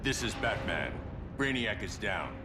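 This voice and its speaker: A man's voice: on the low side, very animated, measured pace, heavy background noise. An actor playing a grim, calculating male vigilante hero in a video game, with clipped, matter-of-fact speech. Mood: grim, businesslike.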